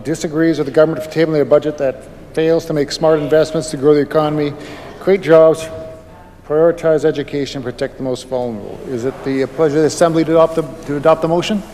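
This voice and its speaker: A man presides over the chamber, reading procedure in a formal, even way. His voice is mellow and a touch high for a man. He sounds distant, with a long chamber reverb. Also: fast talker, slight background noise. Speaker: Speaker of the legislature.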